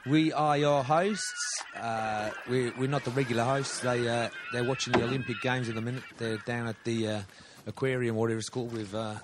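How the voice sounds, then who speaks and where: A man's voice: medium pitch, steady tone, fairly quick, hardly any pauses, heavy background noise, close to an everyday voice. A man putting on an exaggerated Australian accent as a laid-back surfer bloke, bantering hesitantly and matily as a comedy podcast host. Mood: matey rambling. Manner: matey, rambling, hesitant.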